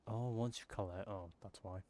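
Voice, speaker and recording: A man's voice: fairly low pitch, steady tone, normal speed, dark tone, slight background noise. A man giving casual commentary while playing a video game, muttering puzzled asides to himself. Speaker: gaming streamer.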